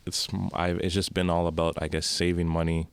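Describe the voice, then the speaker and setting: A man's voice: deep voice, fairly quick, clear, resonant tone, no room sound. A young Canadian man telling stories as a guest in a casual interview, with loose, restarting sentences. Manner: relaxed storytelling with frequent restarts. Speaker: guest singer.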